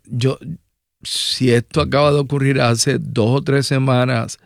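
A man's voice: medium pitch, vocal fry, no echo, clean studio sound. A man with a Puerto Rican accent hosting a radio talk show, conversational with rambling personal asides. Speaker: radio host. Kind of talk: radio news and interview talk.

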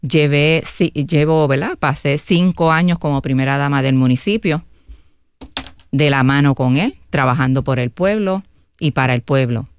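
A woman's voice: deep voice, moderate pace, a little hiss or hum. Earnest Puerto Rican woman candidate. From Guaynabo, Puerto Rico. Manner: earnest, often tags phrases with a questioning filler. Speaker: political candidate and interview guest. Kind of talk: radio interview.

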